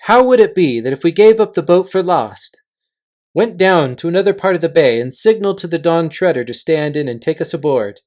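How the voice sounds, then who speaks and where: A storybook reader voicing a brave, decisive young king who gives measured, formal commands. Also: medium pitch, animated, a little mellow, natural voice. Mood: resolute.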